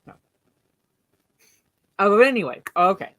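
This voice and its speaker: A woman with a slightly deep voice hosting a casual movie chat, rambling with lots of fillers and restarts. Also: animated, a little slow, no room sound. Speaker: podcast host.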